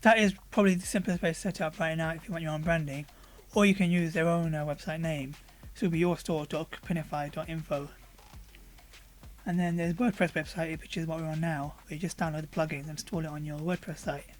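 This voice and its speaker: A man with a hoarse voice talks through a software demo over his screen recording. He speaks in a relaxed, conversational way, stopping often for ums and uhs as he clicks through each menu. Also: fairly high pitch, brisk. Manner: relaxed, conversational, with frequent fillers. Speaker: product reviewer.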